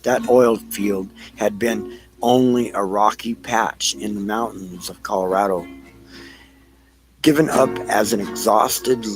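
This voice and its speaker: A man reading dramatic audiobook narration in a halting way, sometimes repeating a phrase. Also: on the low side, rough recording.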